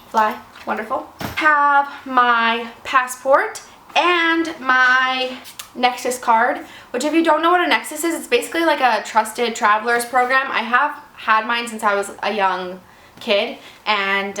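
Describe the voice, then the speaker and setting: Casting: twenties woman, slightly raspy from a cold, rambly and self-deprecating, higher voice, echoey room. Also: bright, forceful tone. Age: 20s. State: fighting off a cold. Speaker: vlogger. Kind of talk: casual chat. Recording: echoey room with faint noise.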